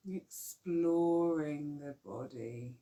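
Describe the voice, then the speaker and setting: Slow soft-spoken woman guiding meditation. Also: low voice. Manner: slow, soft, soothing guidance with long pauses. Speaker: yoga nidra teacher. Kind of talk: guided relaxation meditation.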